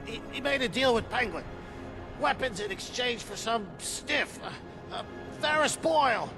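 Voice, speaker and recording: Cowardly game informant, very high-pitched and gravelly, stammering and pleading in panic. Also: male voice, lively intonation, moderate pace, over music. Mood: panicked. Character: panicky male criminal informant, cowardly and evasive. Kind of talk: video game voice acting.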